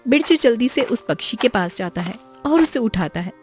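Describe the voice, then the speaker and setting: A woman's voice: a little high, animated, moderate pace. A woman reading a scripted moral story for children as its narrator. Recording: music behind the voice. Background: background music.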